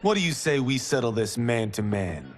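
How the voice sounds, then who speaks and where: An actor playing a cocky, playful young martial-arts farmer in a video game, brash and teasing. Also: male voice, medium pitch, big pitch swings, moderate pace, faint music behind, lightly acted. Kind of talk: video game cutscene acting.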